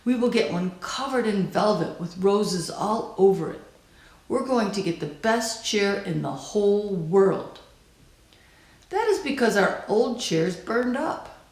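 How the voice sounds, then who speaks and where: Unhurried woman reading aloud, roomy sound. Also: medium pitch. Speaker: storybook reader. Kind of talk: expressive read-aloud of a children's picture book.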